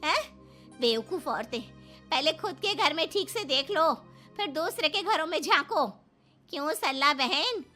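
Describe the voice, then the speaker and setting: A woman playing a sharp-tongued, confrontational middle-aged housewife in a story. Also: high-pitched, heavily acted.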